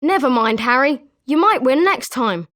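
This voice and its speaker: An eager British schoolboy wizard voiced by a woman for a video game, pitched high. The delivery is chirpy and emphatic, swinging widely in melody, with a friendly competitive edge. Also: lively intonation, moderate pace, fairly bright tone. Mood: consoling. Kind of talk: scripted video game character lines.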